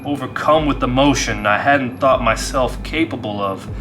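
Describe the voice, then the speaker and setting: A man's voice: medium pitch, moderate pace, music in the background. A man who hosts a gaming channel, talking to his audience and telling stories of solo play. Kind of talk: dramatized horror story narration and casual review.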